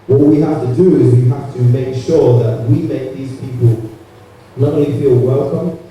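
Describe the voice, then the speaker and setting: A man from Barbados, raised in the UK, answering a question on a conference panel in an earnest, halting way with many fillers. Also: mid-range voice, steady tone, warm tone. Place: large conference hall. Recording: echoey hall heard from far off.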